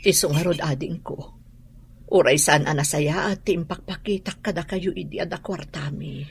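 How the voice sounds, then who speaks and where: A woman's voice: sits low, moderate pace, husky, heavily acted. A woman playing a humbled, regretful middle-aged mother in an Ilocano radio drama, with an Ilocano Filipino accent.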